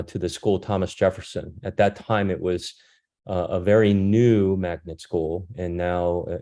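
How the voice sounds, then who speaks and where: Very low-voiced, mellow man in his fifties, native Korean speaker. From born in South Korea, grew up in France and the United States. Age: 50s. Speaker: guest speaker, critical care doctor. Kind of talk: informal presentation about his career.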